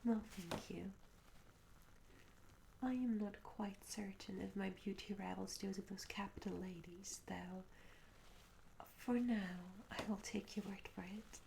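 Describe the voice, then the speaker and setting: Slow, whispering tavern maid, acted by a woman. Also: mid-range voice, fairly even intonation, poor audio. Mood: humble. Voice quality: soft, breathy whisper. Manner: gentle, hesitant, courteous, old-fashioned phrasing. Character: curious, polite, humble medieval tavern maid. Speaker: ASMR roleplay performer. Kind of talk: ASMR roleplay, soft-spoken whispering. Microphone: close ASMR microphone. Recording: close whisper over audible ambience noise. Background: candlelit tavern ambience.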